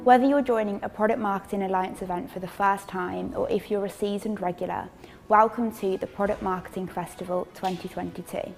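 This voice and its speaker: A woman's voice: medium pitch, steady tone, a little fast, gravelly, soft background music. A woman host reading a scripted welcome to an online event.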